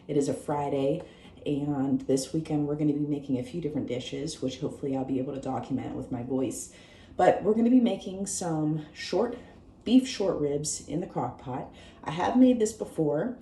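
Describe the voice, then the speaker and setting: A low-pitched, hoarse woman talks viewers through a recipe in a friendly, chatty way. Her voice sounds mellow and worn. The echoey kitchen carries a faint hiss behind her. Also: normal speed. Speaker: cooking show host. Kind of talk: casual cooking demonstration. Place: home kitchen.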